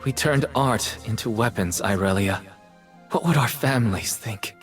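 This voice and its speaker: A man voicing a troubled, solemn artist in a video game, speaking in a measured, poetic manner with dramatic delivery. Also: lowish voice, faint music behind, natural voice. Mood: solemn, questioning. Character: troubled male artist champion, solemn and brooding.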